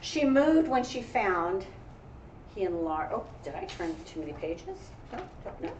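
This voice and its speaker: A woman's voice: mid-range voice, very animated, unhurried, darkish tone. An American woman teacher reading a picture book aloud, adding encouraging, reflective asides for young listeners. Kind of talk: read-aloud with casual discussion. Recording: roomy, distant mic, faint background noise.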